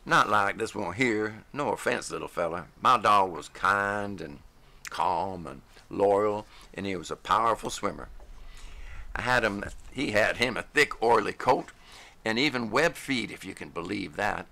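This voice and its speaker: Folksy old-timer with a slow rural drawl, deep and gravelly, put on by a male narrator. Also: animated, bright, forceful tone, heavily acted. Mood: wistful. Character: folksy older man, grieving dog lover.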